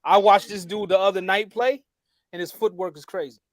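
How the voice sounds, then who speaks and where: A man with a high voice argues basketball in a casual debate with friends. He is animated and repeats phrases as he builds his case. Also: fairly quick, dry recording, studio quality. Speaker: podcast co-host.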